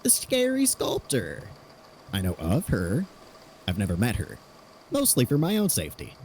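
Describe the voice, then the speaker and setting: A man in a podfic audio drama playing an eager, sheepish inventor who rambles haltingly as he explains his grim work. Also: medium pitch, huge pitch swings, darkish tone, a little hiss or hum. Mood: wary. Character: eager rambling inventor cheerfully cataloguing fears, sheepish about deaths.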